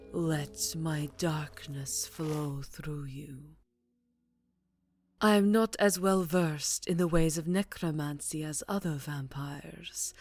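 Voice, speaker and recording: A woman acts out a cruel, seductive vampire countess in a fantasy audio drama. Her voice is on the low side and very slow, intimate and taunting, slipping often into whispers, with music behind her. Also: full character voice. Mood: coaxing, triumphant. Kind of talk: scripted fantasy ASMR roleplay acting. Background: background music.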